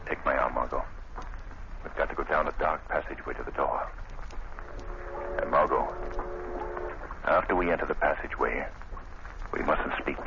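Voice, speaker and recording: A grave male sleuth with a very deep voice, in an old radio mystery. He is measured, calm and authoritative. The recording is dull and clearly noisy. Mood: calm, guarded. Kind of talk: 1940s radio acting.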